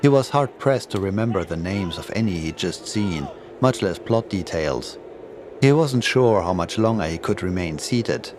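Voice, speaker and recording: A man's voice: deep voice, expressive, moderate pace, background noise. A man reading a scripted horror story as its narrator.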